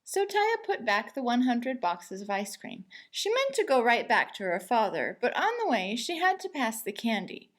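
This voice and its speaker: A woman reading a children's picture book aloud as an expressive storyteller, with deliberate emphasis. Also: a little high.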